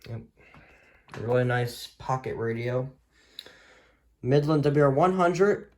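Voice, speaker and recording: A man talking casually and off the cuff as a vlogger showing his collection at home, in a low-key, offhand way. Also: takes it slowly, a little mellow, a little hiss or hum. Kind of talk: casual unscripted show-and-tell. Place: indoors, rooms at home.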